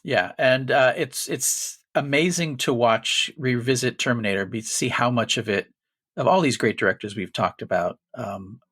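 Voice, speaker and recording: A man co-hosting a casual film podcast, rambling with frequent restarts and animated bursts. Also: mid-range voice, moderate pace, breathy edge, no echo, studio quality. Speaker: podcast co-host.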